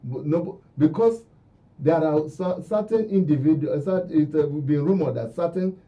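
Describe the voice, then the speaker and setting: A man's voice: a little high, normal speed, distant mic. A Nigerian man speaking as a guest commentator in a radio discussion, emphatic and repeating phrases for stress. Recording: dull, lo-fi radio audio.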